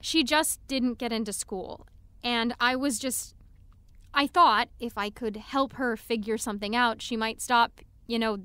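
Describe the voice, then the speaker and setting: A woman's voice: fairly high pitch, fairly even intonation, measured pace, a bit bright, smooth, no room sound. A young woman playing an earnest, anxious, apologetic teenage girl in an audio drama, hesitant and rambling. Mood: hurt, confessional. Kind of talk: naturalistic audio drama acting.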